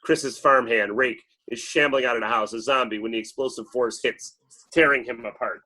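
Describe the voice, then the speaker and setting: A man's voice: medium pitch, steady tone, normal speed, pushed and bright, husky. A man reading stage directions as dramatic scripted narration at a table read.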